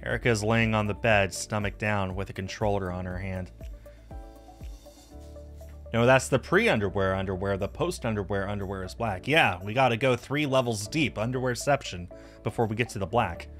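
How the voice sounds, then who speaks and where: A man's voice: on the low side, normal speed, fairly bright tone. A man streaming a visual novel, reading the narration aloud and adding casual asides. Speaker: game streamer. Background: music.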